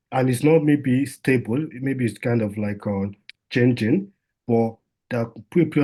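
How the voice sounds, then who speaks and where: A man's voice: mid-range voice, mellow, husky. A man, a doctor, giving an informal health talk in a conversational way, with lots of fillers and restarts.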